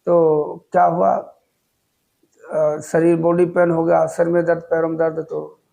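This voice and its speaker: A man's voice: on the high side, monotone, a little slow, gravelly, dark tone, low-quality recording. A man with a sore throat telling an earnest, humble story in a casual devotional talk. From Uttar Pradesh, India. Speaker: devotional video host.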